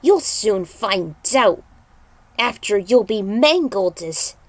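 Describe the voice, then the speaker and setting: Skinny storybook demon, played by a woman, gruff, taunting, raised in volume, dry sound. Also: medium pitch, animated, slow, no echo. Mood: menacing.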